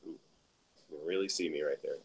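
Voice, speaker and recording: A man's voice: rumbling low, fairly even intonation, moderate pace. A man hosting a session at a tech camp, chatting casually with attendees and laughing often. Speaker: session host running a live stream. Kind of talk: casual chat. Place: conference room at a tech camp.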